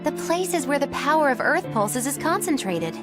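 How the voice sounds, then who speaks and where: A precise, formal woman adventurer, speaks with lively swings in a fairly high voice. It is fantasy game dialogue with music underneath. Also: moderate pace. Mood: reasoning. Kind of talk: video game cutscene voice acting.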